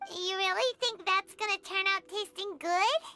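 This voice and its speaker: A woman voicing a tiny floating girl companion who is chatty and blunt, with a squeaky childlike voice and a bouncy, outspoken delivery. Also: normal speed, sharp tone, full character voice. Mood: blunt, curious. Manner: bouncy, outspoken, refers to herself by name. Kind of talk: game cutscene voice acting. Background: game music.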